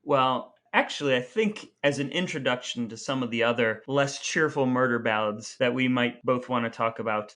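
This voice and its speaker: Man, mid-pitched voice, podcast co-host, conversational, explanatory storyteller, measured phrasing. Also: fairly bright tone. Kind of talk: conversational music history discussion.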